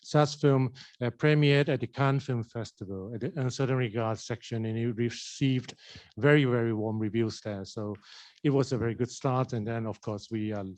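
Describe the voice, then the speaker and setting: A man's voice: medium pitch, fairly even intonation, normal speed, a little mellow. A man moderating a film festival Q&A, hesitant, with many fillers and stammered starts. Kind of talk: hosting a festival Q&A. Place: cinema hall.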